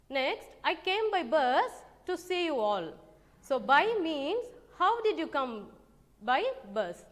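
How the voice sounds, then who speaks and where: Woman teacher, very high voice, unhurried, reverberant room. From South India. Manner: slow, careful teacherly explaining, sings simple rhymes. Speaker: English teacher. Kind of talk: online grammar lesson for children.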